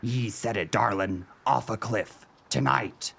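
An actor playing a scheming, pompous pirate with rustic talk in a comic radio play. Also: male voice, on the low side, takes it slowly, gravelly, a bit bright, husky, exaggerated voice. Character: scheming male pirate, pompous, scornful know-it-all.

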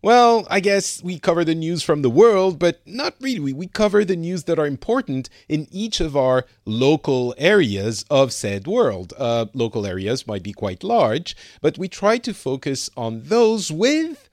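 A man's voice: a little high, very animated, measured pace, few pauses, dry recording, clean studio sound. A man from France hosting a podcast in casual chat, rambling with many fillers and restarts. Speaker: podcast host.

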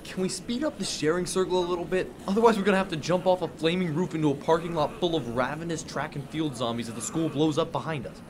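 A man's voice: a little high, fast, hardly any pauses, background noise, lightly acted. A young man playing an impatient, sarcastic teenage survivor in a radio drama. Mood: sarcastic, irritated. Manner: sardonic, impatient.

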